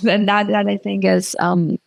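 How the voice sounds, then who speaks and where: A woman's voice: mid-range voice, flat delivery, a little slow, gravelly, no echo. A woman from the former Soviet Union, a venture capitalist guest, speaking thoughtfully with many fillers and restarts. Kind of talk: casual interview talk.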